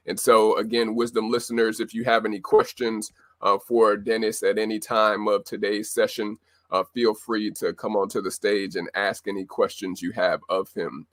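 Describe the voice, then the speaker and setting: A man hosting a live audio show, upbeat and filling his pauses with "uh". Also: lowish voice, little pitch movement, a bit bright, no echo, studio quality. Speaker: host.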